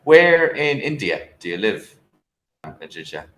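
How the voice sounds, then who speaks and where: A low-voiced Irish man tutors English over a video call, and his tone has a bright, forward edge. He talks casually, hesitating often with fillers and restarts. The room around him rings with a noticeable echo. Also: very animated, a little slow. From Ireland.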